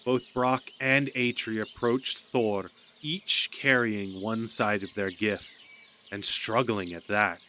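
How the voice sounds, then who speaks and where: Low-voiced man narrating a myth. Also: fairly even intonation, takes it slowly, pushed and bright. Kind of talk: dramatic scripted storytelling. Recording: amateur recording with background noise.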